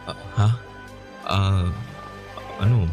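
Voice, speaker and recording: A lowish male voice of a guilty young man in a radio drama, pleading earnestly and stumbling over his words. He sounds sorry but insistent. Quiet music sits underneath. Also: fairly even intonation, drawn-out words. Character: remorseful young man pleading for another chance. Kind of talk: Tagalog radio drama acting.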